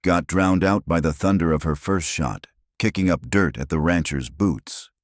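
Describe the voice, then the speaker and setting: A man narrating a scripted western drama in a slow, even storytelling manner. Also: deep voice, dry recording, studio quality.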